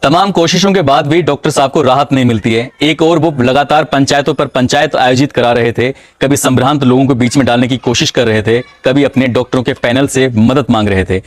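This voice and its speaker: A man's voice: medium pitch, a little fast, few pauses, no echo. Hindi man narrating true crime, dry.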